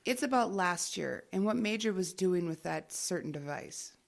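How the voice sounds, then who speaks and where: An actress playing a secretive government agent in an audio drama, dry and unflappable. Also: female voice, fairly low pitch, expressive, normal speed, gravelly. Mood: wry.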